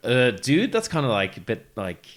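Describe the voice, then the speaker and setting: A relaxed young guy who drawls out loose slang and trails off between phrases, as a man puts him on. His voice is an everyday middle pitch, soft and easygoing. It belongs to a tabletop game. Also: moderate pace.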